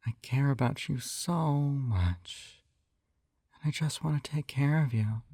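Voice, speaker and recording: A man plays a possessive yandere hypnotist in an intimate audio roleplay. He takes his time, coaxing and doting with a faintly condescending edge. His voice sits at an ordinary male pitch, recorded very dry. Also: slow, creaky, warm tone, no echo. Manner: coaxing, doting, slightly condescending, often sighing. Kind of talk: intimate scripted roleplay acting.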